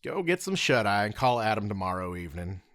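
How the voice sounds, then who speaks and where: A man's voice: lowish voice, sing-song, a little fast, fairly bright tone, no room sound, clean studio sound. A man playing a polite, easygoing young male armored hero with a drawling, good-humored manner. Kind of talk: audio drama acting.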